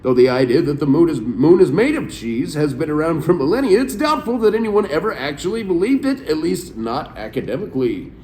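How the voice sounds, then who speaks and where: Late-30s male co-host, fairly high and animated, casual and laugh-prone, reads search results aloud; light background hiss. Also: a little fast, hardly any pauses, roomy sound. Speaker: podcast co-host. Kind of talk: casual chat.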